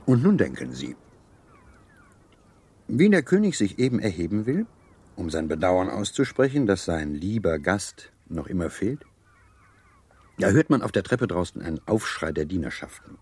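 A suave officer and charmer in a radio drama. His low, husky voice rises and falls widely as he savours every phrase with theatrical, teasing gallantry. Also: sing-song, moderate pace, long pauses, a little mellow, heavily acted. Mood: suave, dramatic storytelling. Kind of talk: German radio play acting.

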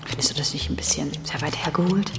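An actress playing an earnest, quietly troubled woman investigating secret files in a radio drama, in a hushed, breathy voice. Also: deep voice, fast, whispered. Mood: doubtful, pensive. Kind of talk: radio drama dialogue and reading aloud. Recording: studio radio drama with music underneath. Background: music.

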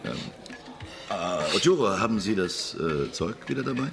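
A man's voice: sits low, expressive, moderate pace, gravelly, music in the background. A man playing an easygoing, slightly flustered investigator in a radio drama, casual and hesitant in delivery. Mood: eager, casual. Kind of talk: naturalistic German radio drama acting.

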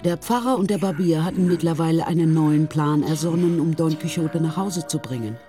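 A woman narrating a radio drama in the past tense, calm and even. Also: low voice, brisk, faint music behind.

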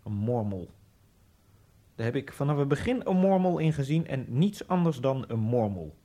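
A man's voice: medium pitch, lively intonation, normal speed, dark tone. Man narrating a brooding radio monologue. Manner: brooding, dreamy inner monologue with sudden bursts. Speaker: Egyptian immigrant narrator.

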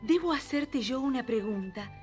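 An actress playing a gentle, polite young Cinderella, courteous and theatrical in a children's storybook drama. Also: female voice, fairly high pitch, animated, normal speed, close to an everyday voice. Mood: polite, anxious. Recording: vintage story record with music behind.

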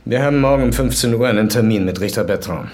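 An actor playing a terse, sober police chief of a drug squad in a German crime radio drama. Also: male voice, fairly low pitch, fast, a little mellow, natural voice. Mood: sober, determined. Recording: music behind the voice.

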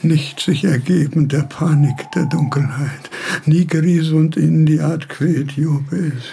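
An actor playing a frail, confused old philosopher, halting and repeating himself in a radio drama. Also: male voice, a little high, steady tone, vocal fry, warm tone, a little hiss or hum. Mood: delirious, frightened. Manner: halting, repeating words, agitated.

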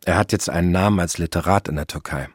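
A man with a very low voice narrates a family story in a calm, matter-of-fact way. The recording is close and dry, with no room sound. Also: fast, slightly rough, dry recording. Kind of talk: scripted narration.

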